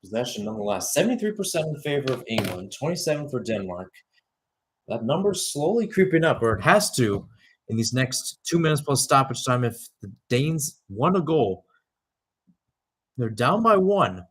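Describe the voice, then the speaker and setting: A man's voice: medium pitch, very animated, moderate pace, slightly rough. A young man giving live radio-style soccer commentary, mixing clipped calls of the play with conversational asides. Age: young adult.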